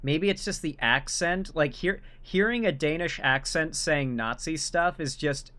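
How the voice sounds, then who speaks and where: A man's voice: fairly high pitch, unhurried, sharp tone. A man hosting a reaction commentary, talking in an emphatic, mocking rant. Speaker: commentary host.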